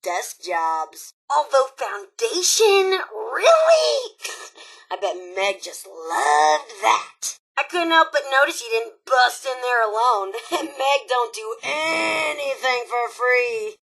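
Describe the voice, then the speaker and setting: A woman's voice: sits high, very animated, takes it slowly, gravelly, fairly bright tone, full character voice, poor audio. A woman voicing a cocky, scheming robot raider broadcaster in a video game, with an American accent and a sarcastic, taunting, theatrical drawl. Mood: gleeful, mocking. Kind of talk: video game character dialogue.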